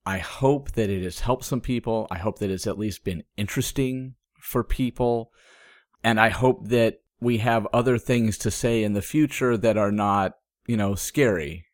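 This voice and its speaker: A man's voice: lowish voice, moderate pace, no room sound, studio quality. A man co-hosting a podcast, a little stuffed up and under the weather, talking thoughtfully with fillers and small laughs. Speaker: podcast co-host. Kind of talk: casual chat.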